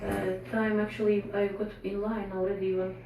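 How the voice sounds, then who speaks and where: A woman's voice: mid-range voice, little pitch movement, normal speed, dark tone, a little hiss or hum. A woman organising a community meeting, speaking casually and hesitantly. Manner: casual, hesitant, laughs easily. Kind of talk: informal community talk. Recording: echoey room, distant mic.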